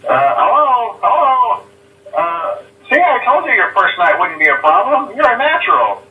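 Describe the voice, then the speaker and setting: A man's voice: very high-pitched, sing-song, normal speed, noisy background. An actor playing a chatty, nervous male company phone messenger in a horror game, rambling with lots of "uh" fillers and awkward chuckles. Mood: upbeat nervous. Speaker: phone guy giving night guard instructions. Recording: tinny phone line, echoey and distant.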